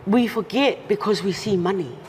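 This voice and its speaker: A woman's voice: mid-range voice, moderate pace, a little mellow. A woman guest talking earnestly and carefully in an interview. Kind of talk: casual interview talk. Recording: long reverb, faint noise.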